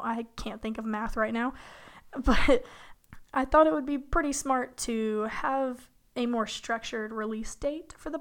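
A young woman in her college years hosts a solo podcast, her slightly high voice still a little hoarse from illness. She rambles in a relaxed, chatty way, full of fillers and small laughs. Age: young adult. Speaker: podcast host. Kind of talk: casual solo podcast talk.

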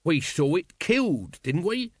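A male narrator acts a cheeky low-ranking watchman for an audiobook. He is scruffy, suspicious and colloquial, with lively pitch and a dry sound. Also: moderate pace, no echo. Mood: urgent, nervous. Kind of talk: audiobook character voice.

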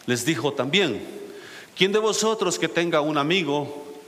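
A man's voice: fairly high pitch, lively intonation, unhurried, a bit bright. A male pastor, preaching a sermon to his congregation, asking them questions and rising to emphatic peaks. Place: church sanctuary. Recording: echoey church hall with faint hiss.

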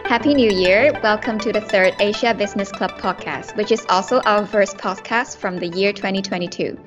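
Young female student host; hesitant, filler-heavy questions; mid pitch, lo-fi recording. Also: normal speed. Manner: hesitant, uses many fillers like "um" and "like". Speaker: podcast host, student club director. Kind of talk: podcast interview questions. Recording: dull lo-fi with faint music.

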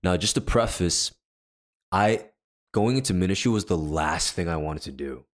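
Deep-voiced man telling a story. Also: animated, moderate pace. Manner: rambling, filler-heavy storytelling with many restarts. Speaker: podcast co-host; worship leader. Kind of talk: casual storytelling chat.

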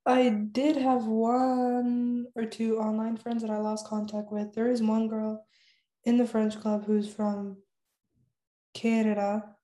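Flat-toned young woman, echoey room. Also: on the high side, slow. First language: Spanish. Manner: chatty, many "like" fillers, laughs often. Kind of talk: casual chat.

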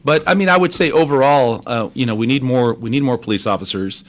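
A man from Texas, a city finance official, answering interview questions in a measured, explanatory way with frequent restarts. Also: mid-range voice. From Texas, United States. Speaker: guest, deputy city controller.

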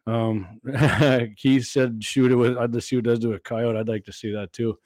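A hoarse man, hosting a casual podcast and talking haltingly, repeating words as he goes. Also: medium pitch, fairly even intonation, darkish tone, no room sound. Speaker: podcast host.

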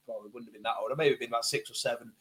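A man in his twenties who grew up in Wales, telling stories excitedly on a casual podcast, rambling with lots of fillers. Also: medium pitch, racing. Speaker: podcast guest. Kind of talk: casual chat.

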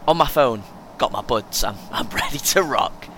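A man playing a chatty, excitable, over-prepared first-time runner in an audio play, speaking in clipped short phrases. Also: mid-range voice, moderate pace, natural voice. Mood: keen, boastful. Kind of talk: naturalistic audio play acting. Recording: clear background noise, vehicle ambience.

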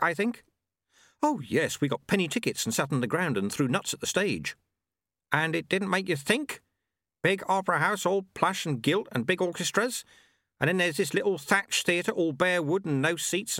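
A man's voice: sits high, a bit bright, dry recording, clean studio sound, pitched above the performer's own voice. A man voicing an old male sweeper monk, blunt and folksy, who mutters and drops his grammar.

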